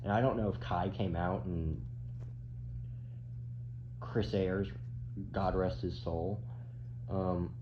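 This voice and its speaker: Deep-voiced young man rambling in a roomy space. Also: steady tone. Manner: rambling, halting, swears casually. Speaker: commentator. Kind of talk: casual off-the-cuff opinion chat. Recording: roomy, dull, with clear background noise.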